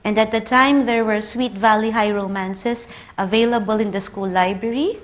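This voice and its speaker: A woman's voice: medium pitch, fairly even intonation, moderate pace. A woman in her thirties with a Filipino accent, a native Tagalog speaker, giving a conference lecture and telling personal stories with light humor. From Philippines. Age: 30s.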